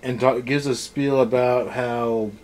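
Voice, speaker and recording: A man's voice: medium pitch, steady tone, slow, a little mellow. A man in a casual, opinionated discussion as a review co-host, blunt and dismissive in his ranting. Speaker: co-host of a card game anime review.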